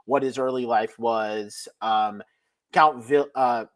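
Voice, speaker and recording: A man co-hosting a podcast, giving a casual, unscripted review in a halting way with many filler words. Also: medium pitch, steady tone. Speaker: podcast co-host leading a review.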